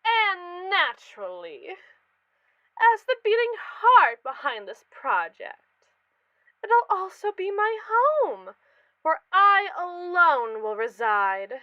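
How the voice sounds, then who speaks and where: A woman's voice: very high voice, very animated, slow pace, dry recording, highs cut off, exaggerated voice. A woman playing a pompous, callous space mogul in a scripted, theatrical ASMR roleplay monologue, condescending to the colonists beneath her. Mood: condescending, mock-sweet. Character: haughty, callous female space mogul lording over colonists.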